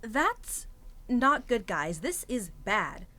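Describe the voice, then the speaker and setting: Woman as a slightly high-voiced, practical young student. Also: very animated, takes it slowly, sharp tone, exaggerated voice. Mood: urgent. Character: caring, practical young student, exasperated first-aider. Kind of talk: amateur audio drama acting.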